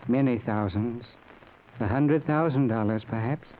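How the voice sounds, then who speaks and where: A man playing a grave, patient yogi teacher in a 1940s radio drama, with formal, measured speech. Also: mid-range voice, phone-quality sound, close to an everyday voice. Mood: regretful, solemn. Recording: thin, noisy old radio recording.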